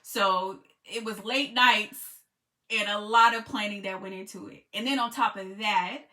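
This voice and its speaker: American woman, bright tone, chatty vlog storytelling, lots of fillers, mid pitch. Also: unhurried. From United States. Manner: conversational, many "you know" fillers, grows excited. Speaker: travel vlogger and group trip leader. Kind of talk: casual storytelling to camera.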